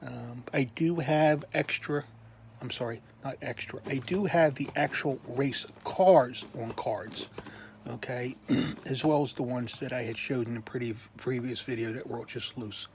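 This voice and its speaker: A man slowly showing off his toy collection in a casual video, pausing often with ums and clearing his throat while losing his voice. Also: medium pitch, steady tone, slight background noise. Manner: slow, halting, many ums and uhs, frequent throat clearing. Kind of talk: casual show-and-tell.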